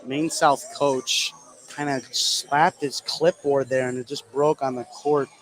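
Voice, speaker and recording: Male sports analyst, mid-range voice, conversational live radio commentary on high school basketball. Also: steady tone, slow pace, low-quality recording. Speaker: color commentator.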